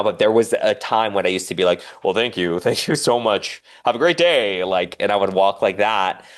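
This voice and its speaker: A man talking casually and candidly as a podcast guest, animated and rambling, with self-deprecating humor. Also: deep voice. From diaspora Korean, raised in the West.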